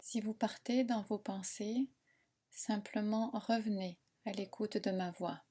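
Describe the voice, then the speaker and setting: A woman guiding a meditation in a soothing, unhurried, instructing manner with long pauses. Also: medium pitch, steady tone, warm tone, breathy edge. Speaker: relaxation and yoga nidra guide. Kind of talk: guided meditation narration.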